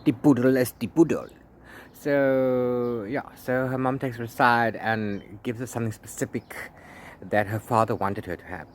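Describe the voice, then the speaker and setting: A man talking casually as a book vlogger, rambling in a halting way with repeated words and restarts. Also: mid-range voice, steady tone. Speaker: book vlogger, read-along co-host. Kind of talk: casual rambling video diary about a book. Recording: clear background noise, ambient sound.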